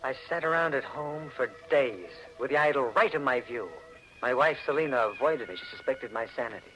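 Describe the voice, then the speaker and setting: A man's voice: medium pitch, brisk, bright, forceful tone, close to an everyday voice. An actor playing a wary, hard-boiled American man in a 1940s radio mystery, terse and dramatic, with an American accent. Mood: haunted, tense. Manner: terse, dramatic, tough-guy delivery. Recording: noisy old 1940s radio recording.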